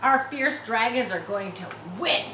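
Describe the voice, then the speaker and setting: A woman's voice: on the high side, animated, unhurried, pitched above the performer's own voice. A woman voicing a boastful, stubborn schoolboy with emphatic delivery in a children's storybook. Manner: bragging, emphatic. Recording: roomy echo, distant mic, faint noise.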